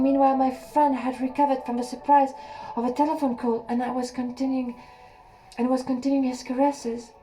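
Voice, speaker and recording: Woman narrator for an erotic audiobook: warm, unhurried, hushed and close. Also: fairly high pitch, slight background noise, rough recording. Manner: slow, intimate reading with soft pauses. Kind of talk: first-person erotic story reading.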